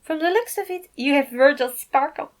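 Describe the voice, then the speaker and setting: A sweet, childlike dad figure, played by a woman, doting and bubbly. The pitch is pushed very high, and the delivery gushes with affection. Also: normal speed, natural voice. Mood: happy, curious. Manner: gushing, affectionate.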